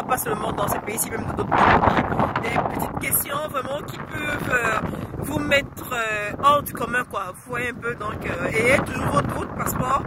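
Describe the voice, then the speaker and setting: A woman with the flu vlogging outdoors by a lake, chatting casually and rambling with many fillers and self-corrections. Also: fairly high pitch. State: has the flu. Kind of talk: casual vlog advice. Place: outdoors by a lake in freezing wind. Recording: loud wind noise outdoors.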